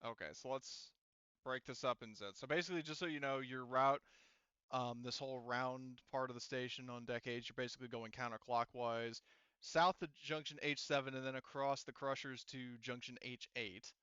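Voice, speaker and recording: A man runs an online tabletop game as its game master, working things out aloud step by step. His voice is bright and resonant, picked up dry on a close mic with slightly muffled chat audio. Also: medium pitch, steady tone, moderate pace, clear, resonant tone, no room sound.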